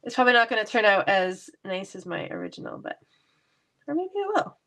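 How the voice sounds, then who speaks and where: A woman's voice: medium pitch, huge pitch swings, a little fast, a bit bright. A woman with a stuffy cold voice, chatting casually and laughing lightly as she demonstrates card making in her basement craft room. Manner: chatty, laughs often, self-deprecating.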